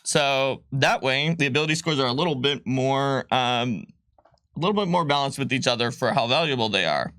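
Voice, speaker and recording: A man of middle pitch talks through tabletop rules in a relaxed livestream chat. He explains eagerly, often breaking off to restart a thought. The sound is close and dry, with no room around it. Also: no room sound. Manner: explanatory, fast, full of ums and restarts. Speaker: game designer co-host. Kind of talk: casual livestream rules talk.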